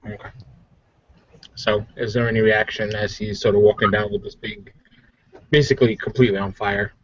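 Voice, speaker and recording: A man's voice: on the low side, fairly even intonation, moderate pace, highs cut off. A man playing a tabletop roleplaying game, talking casually about his moves.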